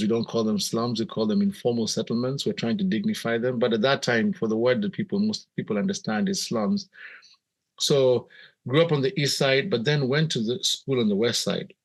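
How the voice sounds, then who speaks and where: A middle-aged man with a Kenyan accent, telling personal stories in a reflective, measured, conversational way as an interview guest. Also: lowish voice. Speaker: podcast guest, missionary pastor.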